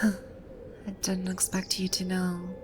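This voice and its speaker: A woman's voice: on the low side, monotone, slow pace, noisy background. A woman voicing a haughty, bossy video-game villainess who stammers and halts when flustered, in an ASMR roleplay. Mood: softened, wondering. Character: haughty, flustered female video-game boss brought to life. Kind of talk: ASMR roleplay audio drama.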